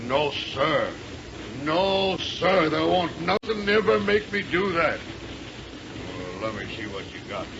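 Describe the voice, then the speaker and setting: An actor playing a gruff, stubborn, slow-witted henchman who grumbles plainly, in a 1930s radio serial. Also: a little high, animated. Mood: grumbling, uneasy. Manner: grumbling, plain-spoken, ungrammatical. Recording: muffled, noisy 1930s radio recording.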